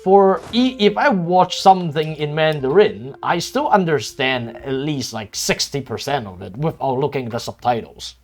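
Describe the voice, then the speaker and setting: A man's voice: a little high, animated, moderate pace, few pauses. A man whose first language is Cantonese, chatting casually while streaming a strategy game, rambling with many restarts and frequent swearing.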